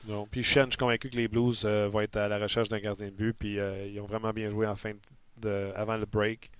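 A man's voice: lowish voice, level tone, fairly quick, clean tone, no room sound. A man, pressing his co-hosts with pointed, teasing questions about hockey trades. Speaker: hockey panelist. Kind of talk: casual sports debate.

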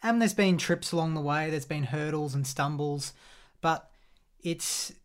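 Reflective man, measured talk. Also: a little high. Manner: reflective, measured, a little playful. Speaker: podcast guest, co-founder. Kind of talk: casual podcast chat.

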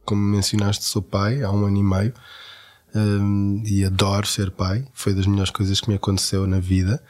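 A man's voice: fairly low pitch, monotone, moderate pace, darkish tone. A man with a European Portuguese accent talking reflectively in an interview, often searching for his words. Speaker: actor interviewed about parenting.

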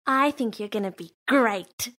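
Woman as a bright, eager young girl. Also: on the high side, measured pace. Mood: warm, reassuring. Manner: warm, enthusiastic. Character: eager, kind young girl, playful and encouraging. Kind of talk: children's audio story acting.

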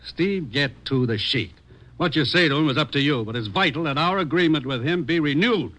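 Authoritative male agency chief, bright forceful voice, urgent and brisk, old radio drama. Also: fairly high pitch, expressive. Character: brisk, urgent government boss giving an assignment. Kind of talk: 1950s radio drama acting.

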